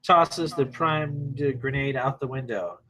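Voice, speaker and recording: A man's voice: mid-range voice, a little slow, pushed and bright. A man reading stage directions at a screenplay table read in short, terse present-tense phrases.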